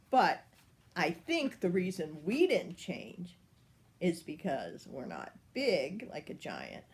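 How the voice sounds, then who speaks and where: An older woman, a grandmother, reading a picture book aloud to children in a playful, animated way. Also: low voice, slight background noise. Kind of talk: storybook read-aloud.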